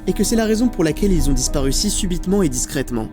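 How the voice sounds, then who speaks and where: A man's voice: high voice, animated, rapid-fire. A man narrating a scripted mystery documentary with dramatic, suspenseful phrasing. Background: background music.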